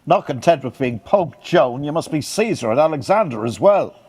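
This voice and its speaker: Guy as a sneery courtier, husky and on the high side, radio play. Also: lively intonation, normal speed, darkish tone. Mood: sardonic.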